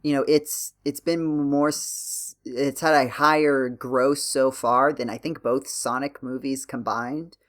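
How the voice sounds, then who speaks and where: A man's voice: medium pitch, fairly even intonation, a little slow, hardly any pauses, a bit bright. A man hosting a podcast, talking casually and working through his points with hedges and self-corrections. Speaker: podcast host.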